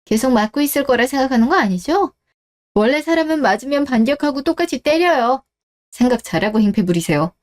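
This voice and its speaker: Radio drama wife, played by a woman: cold, sarcastic, unyielding, fairly high voice, standing her ground. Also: animated, a little fast, darkish tone. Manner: firm, sarcastic. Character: cold, resolute, fed-up wife standing up for herself. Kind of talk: melodramatic radio drama dialogue.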